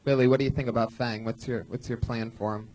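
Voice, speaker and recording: A man with a mid-range voice hosts a live comedy show on a club stage. He throws quick, teasing questions at guests and panelists. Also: medium pitch, fairly even intonation, darkish tone, poor audio. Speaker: host.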